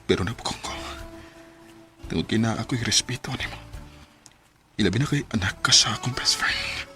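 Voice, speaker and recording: Bright-voiced, restrained older Cebuano suitor. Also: male voice, fairly low pitch, moderate pace. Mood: tender, confessing. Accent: Cebuano Filipino. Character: older male family friend, restrained, secretly in love. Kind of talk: radio romance drama acting. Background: music.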